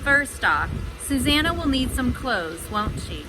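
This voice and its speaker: A woman's voice: high-pitched, big pitch swings, unhurried, background noise. A woman voicing a plain-spoken old fisherman's wife in a children's storybook, with rustic, homely speech. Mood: delighted, tender. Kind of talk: children's storybook read-aloud.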